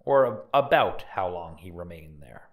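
A man's voice: low-pitched, expressive, moderate pace, far from the mic. A man playing a formal prosecutor putting probing questions to a witness in a dramatic trial reading.

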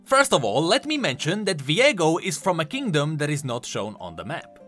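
A man's voice: fairly high pitch, very animated, moderate pace, fairly bright tone. A man giving enthusiastic, emphatic explanatory commentary on video game lore. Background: faint music.

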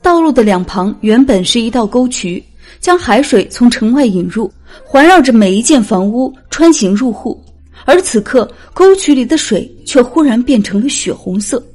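A woman's voice: fairly high pitch, lively intonation, moderate pace, darkish tone. A woman narrating a scripted novel as a dramatic audiobook storyteller.

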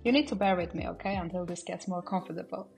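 Woman mid 20s chatting while cleaning her flat, casual and a bit shy, faint music in the back. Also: fairly low pitch, fast talker, creaky. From Armenia. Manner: chatty, a little nervous, reflective. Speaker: vlogger. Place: small apartment indoors. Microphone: camera on a stand, some lines away from it.

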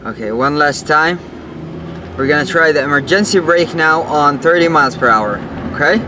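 A young man with a slightly high voice talks casually, explaining each step as he drives. He's recorded from a dashboard camera inside a car, with clear road and engine noise behind him. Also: normal speed. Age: young adult. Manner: chatty, explaining step by step while driving. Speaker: car channel host. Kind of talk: casual vlog narration. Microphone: camera mounted on the dashboard.

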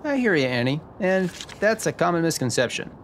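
Man playing a lively genial tax sleuth. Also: a little high, fairly quick, a little hiss or hum. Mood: explanatory. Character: genial, sharp male tax expert in a noir tale. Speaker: podcast host acting in a radio drama. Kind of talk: scripted radio drama dialogue.

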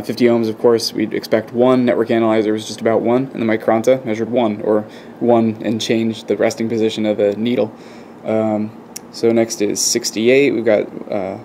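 A man's voice: mid-range voice, dark tone, a little hiss or hum. A man giving a casual, unscripted hobbyist demonstration to camera, speaking in a hesitant, rambling way and often correcting himself. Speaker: video host, radio hobbyist. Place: indoors at a wooden workbench.

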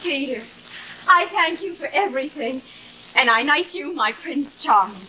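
An actress playing a sweet, devoted, solicitous young wife in the style of old live television drama. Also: female voice, high voice, expressive, normal speed, pushed and bright, highs cut off, a little hiss or hum. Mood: affectionate. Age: young adult.

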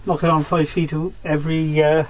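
A man's voice: fairly high pitch, little pitch movement, brisk. A man explaining things casually to a visitor, like a miner showing someone round. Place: small underground coal mine tunnel. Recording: noisy on-location camera audio.

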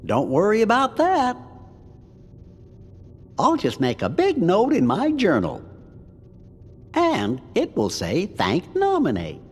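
A fussy little cricket. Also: male voice, sits high, huge pitch swings, slow, pauses often, a little mellow, slightly rough, long reverb tail, full character voice.

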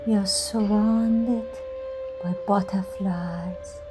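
A woman guides a scripted meditation at an extremely slow pace. She gives soothing instructions in short phrases, leaving pauses between them. Music plays clearly behind her voice, which sits at a medium pitch. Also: steady tone.

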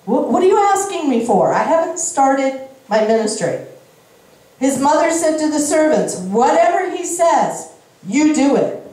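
Middle-aged lady preacher on a handheld mic, high voice, emphatic bible teaching, super echoey church. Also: sing-song, unhurried, mellow. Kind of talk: sermon, Bible reading with interjected explanation. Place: indoor church stage. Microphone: handheld microphone at a lectern. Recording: very echoey room, slightly distant sound.

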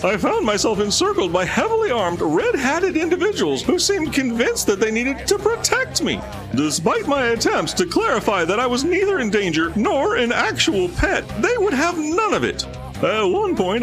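A man's voice: very high-pitched, fairly bright tone, heavily acted. A man playing a pompous, formal field reporter in a mock radio news dispatch. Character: self-important male field reporter filing a dispatch.

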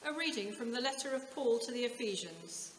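A woman's voice: a little high, expressive, a little fast. A woman in her sixties with an English accent gives a formal scripture reading inside a stone church. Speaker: scripture reader at a church service. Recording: echoey stone church, audible room noise.

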